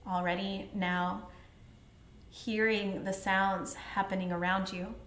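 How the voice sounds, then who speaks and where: A woman teaching yoga nidra, guiding relaxation in a soothing, unhurried, gently instructing way. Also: medium pitch, little pitch movement, a little hiss or hum. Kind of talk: guided relaxation meditation. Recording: roomy echo with music behind. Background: meditation music, musical instrument.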